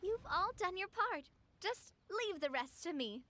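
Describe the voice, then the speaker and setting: An actress playing a cheerful young female gang leader in a game, gracious and lively. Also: extremely high pitch, unhurried, a little hiss or hum, exaggerated voice. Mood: upbeat. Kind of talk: game voice acting.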